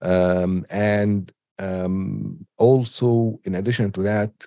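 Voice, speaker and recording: Man, teacher, deep, warm, head cold, hesitant, dry close sound. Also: steady tone, slow, no room sound. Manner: hesitant, many ums and restarts. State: has a cold. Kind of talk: unscripted online lecture.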